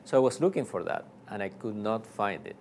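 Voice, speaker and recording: Argentine priest guest, lower voice, halting with lots of "uh" and restarts, faint background hum. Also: male voice, lively intonation, darkish tone. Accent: Argentine Spanish. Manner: halting, many fillers and restarts. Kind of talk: casual interview storytelling.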